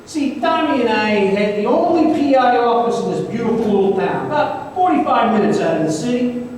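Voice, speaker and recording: A man's voice: extremely high pitch, expressive, fairly quick, darkish tone. A man playing a jaded, wry retired private investigator in an old time radio drama, hesitant and rambling. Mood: wry, reminiscing. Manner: hesitant, rambling, filler-heavy storytelling. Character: jaded, wry retired small-town private investigator telling his tale. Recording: echoey hall, distant mic, faint noise.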